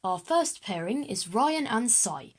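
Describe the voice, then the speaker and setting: Woman as male headmaster, children's audio drama: formal, welcoming, announcing to pupils, slightly husky. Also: a little high, big pitch swings, takes it slowly, exaggerated voice.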